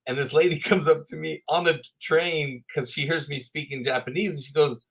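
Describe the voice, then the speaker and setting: A Texan man in his fifties, a podcast guest telling animated stories and laughing as he goes. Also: a little high, fairly quick, husky. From Texas. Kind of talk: storytelling interview chat.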